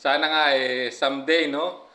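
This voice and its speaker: A man's voice: on the high side, fairly even intonation, unhurried, pushed and bright. A middle-aged man commenting over a clip to his viewers in a casual, chatty way, often tagging lines with "no, guys?" into a phone held close. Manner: chatty, frequent filler "no, guys?". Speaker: reaction vlogger.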